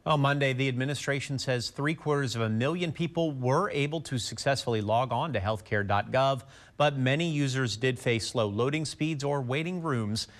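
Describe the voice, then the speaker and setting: A man's voice: mid-range voice, normal speed. A man delivering scripted news narration.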